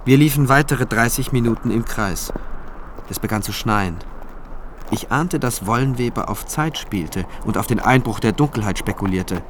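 An actor playing a sober military prosecutor, narrating his recollections in a measured, matter-of-fact radio drama style. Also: medium pitch, slight background noise, lightly acted. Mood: watchful, grim. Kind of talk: scripted first-person radio drama narration.

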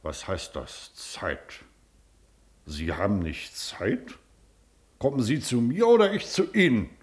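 An actor playing a pompous, bullying village magistrate who lectures and shouts at people. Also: male voice, on the low side, huge pitch swings, slow pace, heavily acted. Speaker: official chairing a hearing.

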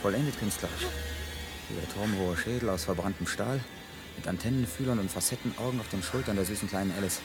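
An actor playing a tense, bitterly sardonic catastrophe survivor in a German radio drama, commanding and urgent in manner. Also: male voice, lowish voice, quick pace, over music, natural voice. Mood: bitter sarcasm.

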